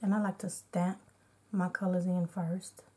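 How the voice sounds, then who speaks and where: Slightly hoarse, congested woman chatting casually. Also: lowish voice, level tone, measured pace, warm tone. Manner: narrates each step as she goes. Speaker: beauty vlogger. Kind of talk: casual unedited makeup tutorial chat.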